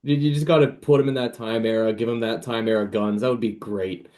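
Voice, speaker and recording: A man's voice: lowish voice, fairly even intonation, fairly quick, darkish tone. A man chatting casually on a podcast like an enthusiastic fan, often repeating and restarting his phrases.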